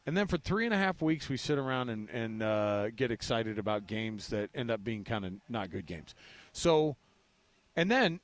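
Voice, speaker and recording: An American man co-hosting a sports radio show in casual talk, animated and repeating phrases for emphasis, often with rhetorical questions. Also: mid-range voice, no echo.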